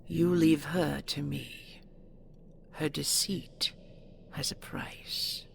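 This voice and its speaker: Scary dragon queen for audio rp, super gravelly n low, cold, bossy, takes her time. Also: steady tone, in a whisper, heavily acted. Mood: commanding. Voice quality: gravelly, growling. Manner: imperious, slow, deliberate, threatening. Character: menacing regal female dragon queen, cold and possessive. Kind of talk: audio roleplay acting.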